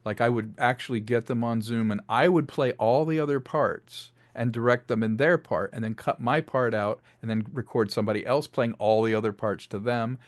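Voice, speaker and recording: Male interview guest, lower-pitched, chatty storyteller, casual and rambling, dry close sound. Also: normal speed, hardly any pauses, no room sound. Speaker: interview guest, filmmaker and audio drama creator. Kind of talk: casual interview, storytelling about creative work.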